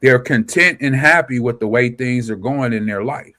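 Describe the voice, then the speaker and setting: A man's voice: mid-range voice, moderate pace, sharp tone. A man reading scripture aloud and preaching informally to an online audience, asking rhetorical questions and answering them himself. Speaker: scripture teacher. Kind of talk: informal scripture study livestream.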